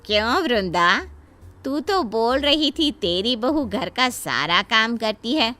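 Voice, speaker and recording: A woman voicing proud, sharp-tongued middle-aged aunties in a story, effusive and pointed. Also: on the high side, animated, slow pace, heavily acted. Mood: pointed, teasing. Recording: music behind the voice.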